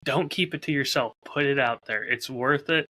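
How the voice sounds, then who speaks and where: A man's voice: medium pitch, normal speed, sharp tone. A man co-hosting a podcast, talking candidly and articulately in a casual, reflective, storytelling way. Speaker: podcast host and audio drama creator.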